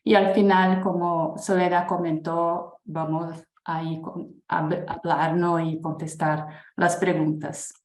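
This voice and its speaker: A woman with a Brazilian Portuguese accent, a native Portuguese speaker, presents slowly and hesitantly, filling pauses with fillers and restarting her phrases. Her voice sits low and carries a roomy echo over a video call. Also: flat delivery. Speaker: guest speaker. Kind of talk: webinar presentation.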